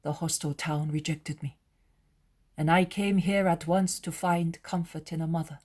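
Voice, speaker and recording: A woman voicing Jesus as a calm, solemn, loving master, with measured and gently authoritative speech. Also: sits low. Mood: reassuring, solemn.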